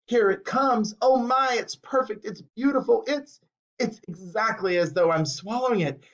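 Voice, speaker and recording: A bossy, greedy girl, as a man reads her lines, pushy and gleeful in a high, bouncing voice. The recording is dull and lo-fi. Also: lively intonation, normal speed. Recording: muffled lo-fi recording.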